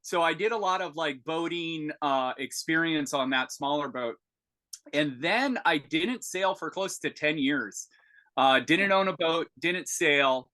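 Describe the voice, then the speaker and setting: A man in his forties talking over a video call as a podcast guest, pausing often with fillers and restarts. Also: a little high, bright, forceful tone. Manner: halting, many fillers and restarts. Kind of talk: casual video-call interview.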